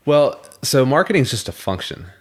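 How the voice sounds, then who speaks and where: A young man with a scratchy throat, co-hosting a casual podcast and explaining things in a measured way. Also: medium pitch, big pitch swings. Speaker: podcast co-host.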